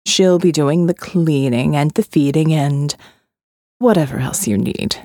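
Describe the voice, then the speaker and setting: A woman putting on a slow, smug male captor. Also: low voice, warm tone, dry recording, studio quality, voice pushed down. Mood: condescending. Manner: slow, drawling, patronizing. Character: smooth condescending male captor, middle-aged. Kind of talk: audio novel reading.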